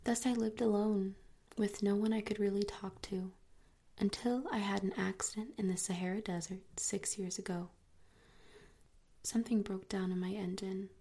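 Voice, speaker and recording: A woman narrating a story in a soft, steady whisper, reading it like an audiobook. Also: mid-range voice, vocal fry, husky.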